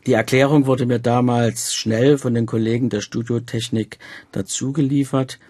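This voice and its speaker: Husky older man interviewing, formal phrasing. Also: medium pitch, steady tone, moderate pace, darkish tone, no room sound. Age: middle-aged or older. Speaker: interviewer and radio drama department head. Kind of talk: prepared interview introduction and questions.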